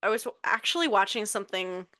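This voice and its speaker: A woman's voice: mid-range voice, animated, quick pace, bright, forceful tone. A woman co-hosting a paranormal podcast who chats casually and tends to ramble and lose her words. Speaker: podcast co-host. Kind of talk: casual chat.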